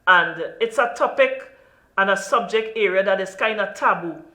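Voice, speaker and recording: A woman's voice: medium pitch, normal speed, bright, forceful tone, reverberant. A woman from Trinidad and Tobago with a Trinidadian accent, hosting an interview in an earnest, emphatic way. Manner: earnest, emphatic, lots of "you know". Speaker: talk show host.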